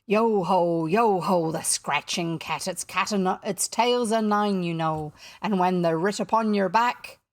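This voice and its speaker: A woman's voice: on the low side, expressive, takes it slowly, vocal fry, hardly any pauses, no echo, studio quality, heavily acted. A woman voicing a villainous male pirate captain in a storybook, theatrical and menacing, with mock courtesy. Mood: gleeful menace. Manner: purring, steely threats and thundering outbursts.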